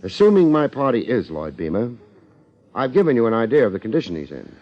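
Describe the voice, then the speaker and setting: An actor playing a wry, hard-boiled American detective with deadpan wisecracks, in 1950s radio drama style. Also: male voice, mid-range voice, quick pace, lightly acted. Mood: sardonic, pressing. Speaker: detective and narrator. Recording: dull, band-limited 1950s radio recording.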